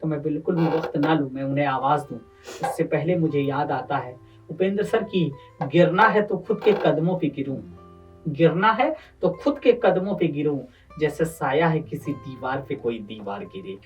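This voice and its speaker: A man's voice: a little high, moderate pace, highs cut off. A man, reciting and introducing poetry as host in a declamatory way, repeating phrases for effect. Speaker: poet and host of poetry gathering. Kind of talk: Urdu-Hindi couplet recitation and formal introductions. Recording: distant microphone, music underneath.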